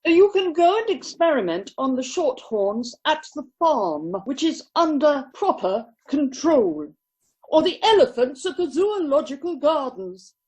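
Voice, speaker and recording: A woman's voice: high voice, very animated, unhurried, darkish tone, rough recording. A woman acting a haughty, ruthless upper-class lady in a radio play, scornful and precise.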